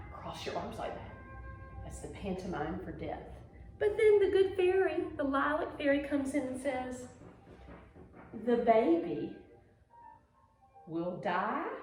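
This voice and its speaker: A woman's voice: mid-range voice, darkish tone. A woman teaching ballet mime, telling stories theatrically and prompting learners to follow along. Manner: theatrical storytelling with encouraging prompts. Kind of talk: demonstrative dance lesson, talking viewers through gestures. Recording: very echoey room, music behind.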